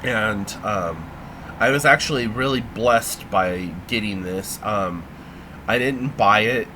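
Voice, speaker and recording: A man vlogging in a casual, rambling way, halting with lots of ums and sounding a little congested. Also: fairly low pitch, noisy background. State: congested, feeling sick.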